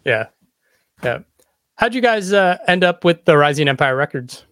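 A man's voice: a little high, expressive, moderate pace, fairly bright tone. A man hosting a podcast interview, speaking in a casual, conversational way.

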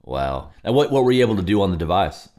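Low-voiced curious male host. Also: big pitch swings, fast, darkish tone. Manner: curious, asks short follow-up questions, light laughs. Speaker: interview host. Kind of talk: casual interview conversation.

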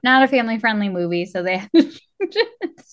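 A woman's voice: a little high, big pitch swings, fairly quick. A woman co-hosting a podcast, talking casually about a film in a conversational way. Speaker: podcast co-host. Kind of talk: casual movie discussion.

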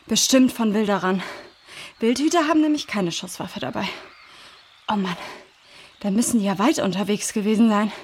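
A woman's voice: medium pitch, normal speed, long pauses, a little hiss or hum, close to an everyday voice. Woman as a level-headed forest guide. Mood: explanatory. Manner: explanatory, reassuring. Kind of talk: children's radio play acting.

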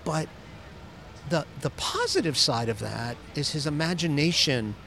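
A man's voice: fairly high pitch, lively intonation, measured pace, creaky, sharp tone, a little hiss or hum. A man hosting a casual interview, chatty and prone to storytelling with fillers. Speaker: podcast host.

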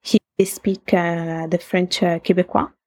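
Italian-accented woman, low flat husky voice. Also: measured pace, no echo, clean studio sound. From Italy. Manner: reflective, laughs often, self-correcting restarts. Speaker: guest. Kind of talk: casual interview talk.

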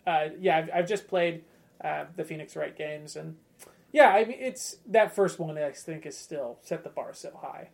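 A man talking casually as a panelist on a gaming podcast, thoughtful and halting as he phrases opinions. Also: fairly high pitch, gravelly. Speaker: podcast panelist discussing video games. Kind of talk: casual chat.

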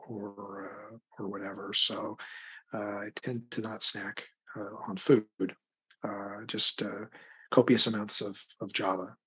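Middle-aged male author as interview guest, slightly deep and husky, conversational, loosely rambling delivery. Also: a bit bright. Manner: rambling, thinking aloud in broken phrases. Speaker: interview guest, crime novelist. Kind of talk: casual conversational interview answers.

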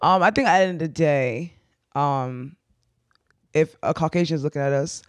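A woman appearing as a guest performer on a podcast, answering earnestly and haltingly, with many restarts. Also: low-pitched, no echo, studio quality. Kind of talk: casual interview chat.

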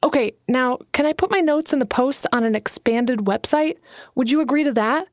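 A woman's voice: high-pitched, normal speed, no room sound, studio quality, voice pushed up, natural voice. A woman reading an audiobook, voicing an eager, earnest young woman writer who pleads and bristles. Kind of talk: audiobook character voice.

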